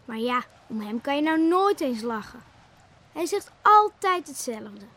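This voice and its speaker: A woman playing a lively, outspoken young village schoolgirl in a Dutch radio play. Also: high-pitched, unhurried, exaggerated voice. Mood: chatty, teasing.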